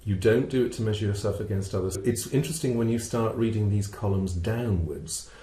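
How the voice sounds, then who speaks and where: A middle-aged man presenting a webinar as a vocal coach, explaining step by step in a measured way, posing questions and answering them. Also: lowish voice, mellow. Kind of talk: explanatory teaching talk with a co-presenter. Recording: roomy, reverberant sound.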